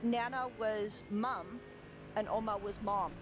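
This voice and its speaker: A woman's voice: medium pitch, fairly even intonation, slow. A young woman, chatting casually and rambling, with restarts and fillers. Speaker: sim racing streamer. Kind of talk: casual chat. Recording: background noise from game audio.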